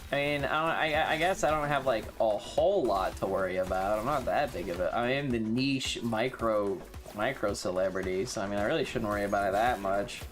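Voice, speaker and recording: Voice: mid-range voice, fairly quick, hardly any pauses, faint music behind. A streamer with an androgynous-sounding voice, chatting casually during a live game in a slangy, sweary, self-mocking way.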